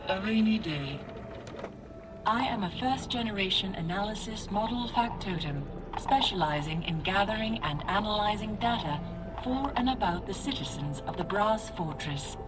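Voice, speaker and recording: Lady doing a robot oracle for a game, cold n detached, clipped machine announcements, music really loud behind it. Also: medium pitch, lively intonation, moderate pace, natural voice. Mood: detached, analytical. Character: female clockwork oracle automaton, coldly analytical. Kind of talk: scripted game dialogue.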